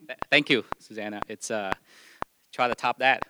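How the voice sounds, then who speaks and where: Man, host pastor, earnest, halting delivery, informal church address, medium pitch, clear background noise. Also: steady tone. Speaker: host pastor introducing a guest. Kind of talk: informal church introduction and spoken prayer. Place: church service, addressing congregation.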